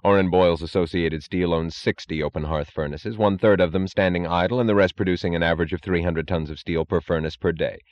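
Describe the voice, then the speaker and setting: Guy doing a steel boss in an audiobook, low voice, cold n blunt, totally unfazed, dry muffled sound. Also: fairly even intonation, a little mellow, dry recording, lightly acted. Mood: cold, calm. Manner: slow, cold, indifferent, matter-of-fact. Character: calm, blunt, defiant male steel industrialist, coldly logical.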